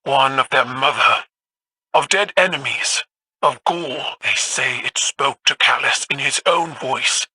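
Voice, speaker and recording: Husky, forceful stern alien leader. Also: male voice, medium pitch, no room sound, heavily acted. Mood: stern, resolute. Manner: deliberate, formal. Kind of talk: scripted game dialogue.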